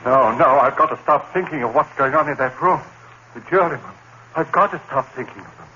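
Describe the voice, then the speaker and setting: A man's voice: medium pitch, lively intonation, a little fast, pushed and bright. An actor in a 1950s radio suspense play, voicing a dramatic, brooding accused murderer who narrates his thoughts. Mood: tormented. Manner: brooding, dramatic inner monologue. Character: anguished accused murderer, a biology teacher, haunted narrator. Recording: dull, noisy old radio recording.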